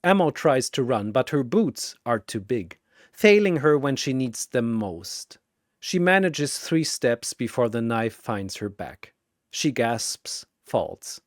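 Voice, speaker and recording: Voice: medium pitch, big pitch swings, slow, no room sound, studio quality. A narrator reading a scripted battle story in a dramatic storytelling style.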